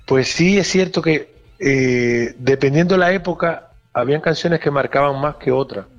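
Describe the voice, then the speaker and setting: A man's voice: a little high, moderate pace, muffled recording, faint music behind. A man from the Dominican Republic, a band pianist, talking casually in an interview with many restarts and fillers.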